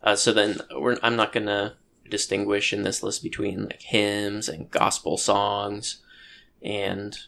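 Low gravelly man, thoughtful and halting. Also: completely flat delivery, pushed and bright, a little hiss or hum. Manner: thoughtful, hesitant, many ums and restarts. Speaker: podcast co-host. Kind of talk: casual chat.

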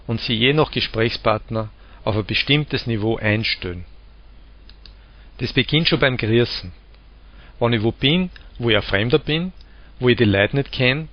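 A man with an Austrian accent from the Vienna area, calmly explaining dialects and giving spoken examples. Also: mid-range voice, vocal fry, no room sound. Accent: Austrian German, Lower Austria/Vienna. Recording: dull lo-fi recording.

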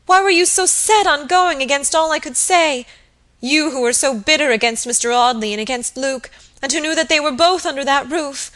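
High, frantic servant girl played by a woman, pleading. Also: moderate pace, fairly bright tone. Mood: anguished, accusing. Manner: sobbing, gasping, pleading outcries. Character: hysterical, terrified young servant woman pleading with her mistress.

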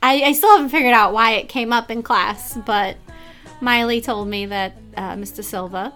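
A woman's voice: fairly high pitch, normal speed, bright, forceful tone, soft background music. A woman co-hosting a podcast, chatting casually and finishing her partner's sentences. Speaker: podcast co-host. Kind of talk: casual chat.

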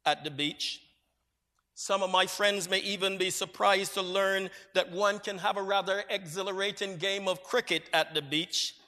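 A man from Barbados preaching a sermon, with measured, reflective storytelling. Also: high-pitched, sharp tone. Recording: long hall reverb.

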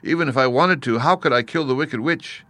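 A man's voice: mid-range voice, animated, moderate pace, a bit bright, no room sound, voice pushed up, lightly acted. A man voicing a small, earnest, helpless little girl in a storybook, with a plaintive, simple delivery. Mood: tearful, sad. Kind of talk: storybook character voice.

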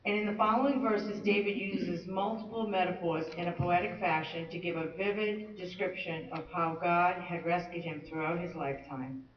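A man's voice: high-pitched, fairly even intonation, normal speed. A man teaching a Bible study lesson in an earnest, conversational way with touches of light humor. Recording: roomy, distant, dull-sounding.

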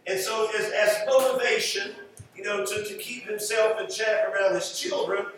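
High-voiced male preacher in an echoey room. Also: steady tone, normal speed, fairly bright tone. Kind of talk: conversational sermon, Bible teaching. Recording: roomy echo, distant mic, faint noise.

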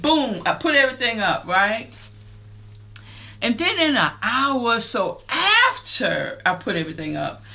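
A woman in her fifties hosting a faith-based motivational talk show, earnest and preacher-like, building to excited emphasis. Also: high-pitched. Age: 50s. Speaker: podcast and radio show host.

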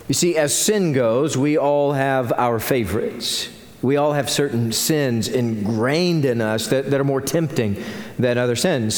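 A man with a Texan accent, a pastor preaching a sermon with growing earnestness. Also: fairly high pitch. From United States. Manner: earnest preaching, rising in intensity. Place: church sanctuary. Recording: echoey church hall.

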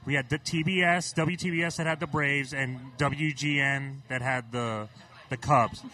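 A man from Puerto Rico co-hosting a casual chat, excitable and often restarting his sentences. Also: mid-range voice, normal speed. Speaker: podcast co-host.

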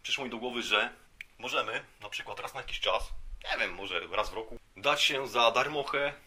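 A man's voice: medium pitch, moderate pace, pushed and bright, a little hiss or hum. A man hosting a podcast, giving a casual satirical monologue in a mock-serious, rambling way with colloquial asides. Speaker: podcast host.

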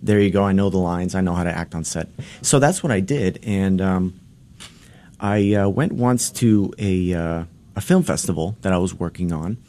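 Male guest, very low voice, earnest and rambling. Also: normal speed, dark tone. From Boston. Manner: earnest, rambling, many restarts. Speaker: actor, studio guest. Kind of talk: interview storytelling. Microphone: studio microphone.

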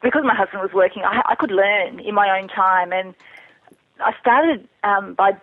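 A woman's voice: medium pitch, normal speed, dry recording. A woman chatting candidly as a guest in a casual interview, answering questions about herself. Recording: thin narrowband phone or internet call.

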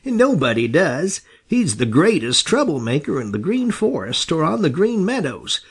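A man's voice: fairly high pitch, very animated, moderate pace, breathy edge, voice pushed up, heavily acted. A man voicing a blunt, loyal male muskrat in an animal storybook, scornful and reassuring. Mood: scornful reassurance.

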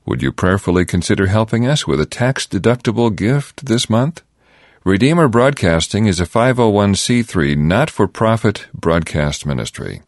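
A man reading a scripted fundraising announcement for a radio station in a measured, deliberate way. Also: sits low, dry recording, studio quality.

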